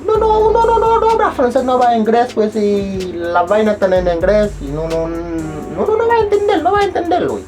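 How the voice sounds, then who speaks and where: A man's voice: high voice, big pitch swings, fairly quick, hardly any pauses, over music. A young man speaking Spanish as a second language, telling a casual story with rambling restarts and self-mocking asides. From abroad, not Chile. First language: not Spanish. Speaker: vlogger.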